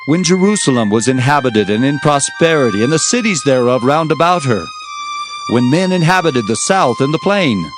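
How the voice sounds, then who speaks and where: Man as biblical prophet, mid-low voice, solemn and emphatic, declamatory narration, clear musical backing. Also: animated, moderate pace, music in the background, close to an everyday voice. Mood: proclaiming. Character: earnest male Hebrew prophet recounting his visions. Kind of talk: dramatized scripture narration.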